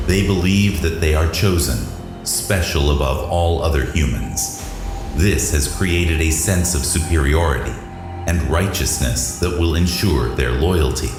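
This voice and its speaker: A man as a very deep, deferential alien aide. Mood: proud, dutiful. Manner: measured, precise, formal. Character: Yahei, loyal deferential male aide to an alien god. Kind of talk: audio drama acting. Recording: echoey voice over loud music.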